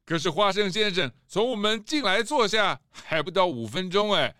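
A man's voice: sits high, animated, moderate pace, pushed and bright, clean tone, dry recording, studio quality. A man playing a jovial, hospitable panda school principal in a children's audio drama, effusive and full of exclamations. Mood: hospitable, eager.

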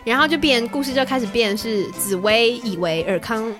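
A woman with a high, very animated voice and a Taiwanese Mandarin accent. She banters casually as a podcast co-host, exclaiming playfully. Music plays faintly behind her. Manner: playful, exclamatory, quick to laugh.

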